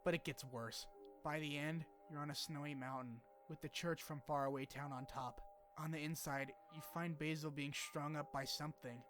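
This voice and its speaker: A man with a slightly high voice delivers a scripted game retrospective over a soft music bed. He keeps it informal and opinionated, dropping casual asides and swearing freely. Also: steady tone, normal speed. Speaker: video essayist. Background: background music.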